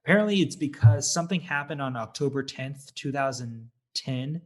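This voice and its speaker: Man, medium pitch, casual podcast film review, co-host, halting delivery. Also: animated.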